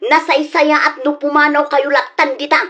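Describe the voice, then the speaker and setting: A woman's voice: high voice, flat delivery, normal speed, sharp tone, muffled recording. A woman with an Ilocano accent playing a grown daughter who confronts her father in a radio drama, talking in a blunt, reproachful way.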